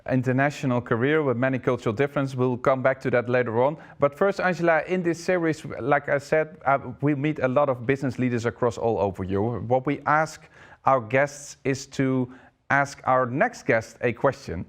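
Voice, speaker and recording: Brief: male talk-show host, mid-pitched, halting questions with repeats. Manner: hesitant, repeats words. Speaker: interview host. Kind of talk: online interview.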